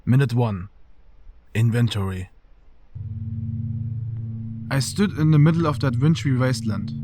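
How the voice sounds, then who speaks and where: A solitary wanderer narrates an experimental radio play, voiced by a man. His pitch moves widely as he tells of a night journey. Music plays clearly underneath. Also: expressive, a little slow, mellow, lightly acted. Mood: somber, detached.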